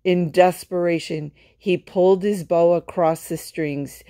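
A woman's voice: lowish voice, steady tone, measured pace, dark tone, no room sound, clean studio sound. A woman reading a children's picture book aloud as a storytime reader.

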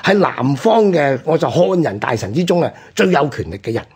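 Male history presenter, Hong Kong Cantonese. Heavily husky, quick-talking, explains in a conversational way. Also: a little high, big pitch swings, a little mellow. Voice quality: husky, rough. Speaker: radio history programme presenter.

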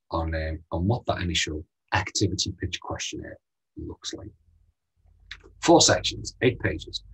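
A man in his thirties talks through a funding webinar on a video call, direct and brisk, firing rhetorical questions at his audience. His voice sits low and sounds strongly raspy and husky. The sound is a plain computer-mic recording from a small room. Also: rough recording. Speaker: space ambassador presenting a funding webinar. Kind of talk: webinar presentation over slides.

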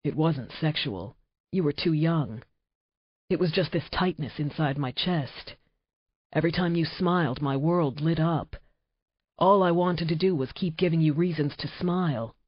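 A woman voicing an intense, possessive shapeshifter man, speaking in a low, hushed, passionate way. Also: unhurried, no room sound. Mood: tender. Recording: dry, slightly dull audiobook recording.

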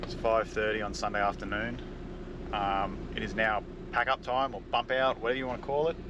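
A man's voice: on the low side, steady tone, a little fast. A man chatting casually as he hosts an on-location vlog outdoors. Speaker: vlog host. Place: outdoors at a car show loading area. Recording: very noisy outdoor recording with vehicle sounds.

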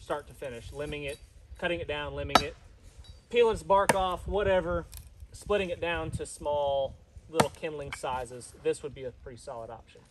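A young man with a slightly high voice chats in an easygoing, agreeable way. He sounds set back from the mic outdoors. Clear background noise runs under his voice. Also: far from the mic. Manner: easygoing, agreeable, lots of quick affirmations. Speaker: channel host. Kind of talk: casual outdoor chat. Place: wooded yard outdoors.

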